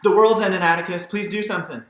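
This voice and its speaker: A man voicing an excitable young Southern girl in an audiobook, pleading and full of questions. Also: high voice, quick pace, echoey room, voice pushed up. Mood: alarmed pleading. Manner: pleading, exclamatory. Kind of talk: audiobook character voice.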